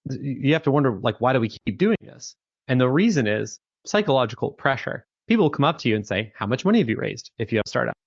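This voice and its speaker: A man's voice: mid-range voice, big pitch swings, fast talker, creaky, no echo. A Canadian man in his thirties, a startup founder talking casually as an interview guest, chatty with lots of fillers and restarts. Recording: dry, dull lo-fi call audio.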